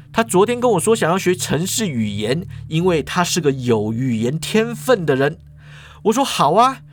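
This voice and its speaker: A man's voice: medium pitch, slight background noise, lightly acted. A man voicing a dejected young male student who rambles and complains as he tells a story.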